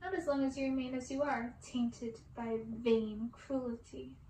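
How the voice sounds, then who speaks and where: Woman playing a high, vengeful young enchantress. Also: steady tone, a little slow, dark tone, reverberant, distant mic, slight background noise, low-quality recording. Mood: scornful. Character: beautiful vengeful young enchantress cursing a prince.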